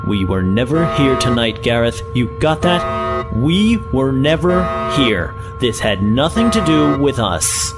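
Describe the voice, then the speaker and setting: An actor playing a scheming, self-important professor in a science fiction audio drama, with formal, theatrical diction. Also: male voice, medium pitch, very animated, measured pace. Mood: alarmed, furtive. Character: scheming, self-important male professor, smoothly feigning innocence. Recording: loud music under the voice.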